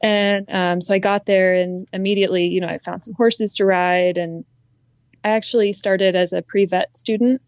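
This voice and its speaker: A young woman from Ohio, a conservationist, talking in a relaxed, reflective way as a guest in an interview. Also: mid-range voice, normal speed, no room sound, dull audio. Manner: relaxed, reflective, frequent ums. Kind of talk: casual interview conversation.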